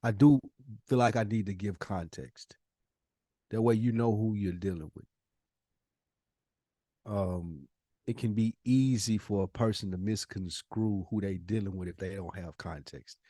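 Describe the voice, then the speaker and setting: A man's voice: lowish voice, measured pace, pauses often, mellow, dry recording, studio quality. A man co-hosting a casual podcast conversation, talking in an emphatic, probing way with plenty of slang. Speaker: podcast co-host.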